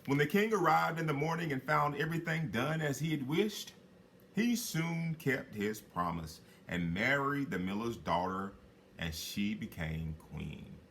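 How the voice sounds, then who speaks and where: A man reading a children's fairy tale aloud as a storyteller, with dramatic, drawn-out phrasing for emphasis. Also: mid-range voice, lively intonation, fairly bright tone, a little hiss or hum. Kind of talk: expressive read-aloud fairy tale narration.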